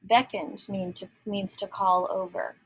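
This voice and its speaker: Husky-voiced woman reading a picture book. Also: mid-range voice, level tone, measured pace, fairly bright tone, low-quality recording. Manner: explains words and asks listeners questions. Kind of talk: read-aloud storytelling for children.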